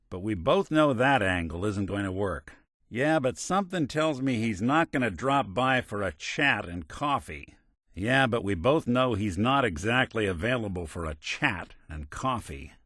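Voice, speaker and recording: An actor playing a world-weary, wry but kindhearted android detective in a video game, with hardboiled old-fashioned slang. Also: male voice, fairly low pitch, fairly bright tone, no room sound, clean studio sound.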